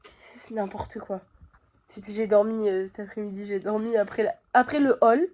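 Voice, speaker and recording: A woman's voice: medium pitch, fairly even intonation, moderate pace, darkish tone. A woman vlogging from her bedroom at home with a stuffy nose from a cold, chatting straight to her viewers with plenty of fillers. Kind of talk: casual chat to camera.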